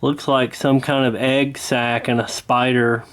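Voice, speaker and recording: A man giving a casual hands-on repair walkthrough, talking in an unhurried, folksy way while he works. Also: mid-range voice, level tone, fairly bright tone, clean tone. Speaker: host of a radio repair channel.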